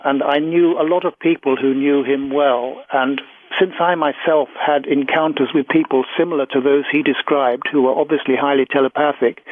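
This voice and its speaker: Halting man on a tinny phone line. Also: fairly high pitch, no room sound. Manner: halting, repeats words, earnest. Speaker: interview guest, author and researcher. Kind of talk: conversational radio interview. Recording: tinny, narrow phone-line radio audio.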